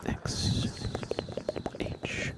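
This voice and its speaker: A man hosting an ASMR livestream, chatting casually with viewers in a soft, breathy half-whisper, sometimes drawing words out and repeating them. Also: very slow, noisy background. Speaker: livestream host.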